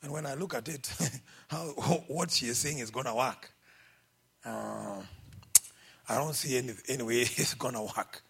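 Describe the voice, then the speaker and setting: A middle-aged man with a Kenyan accent, preaching a sermon in a lively, exhorting way and calling out for amens. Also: medium pitch, normal speed, gravelly, long pauses, husky. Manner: lively, exhorting, calls out for amens, quotes scripture.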